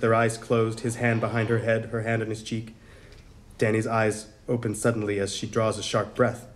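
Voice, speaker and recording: A man's voice: fairly low pitch, completely flat delivery, moderate pace. A man narrating script directions in a dramatic reading at a table read. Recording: room with faint noise and crowd.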